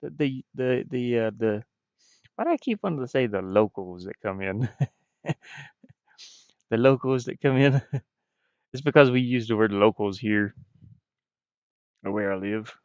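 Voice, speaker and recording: Male indie developer streamer, low and slow-paced, casual and hesitant, trailing off; dull, close stream audio. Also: lively intonation, dry recording. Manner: hesitant, halting, frequently trailing off. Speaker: game developer livestreaming.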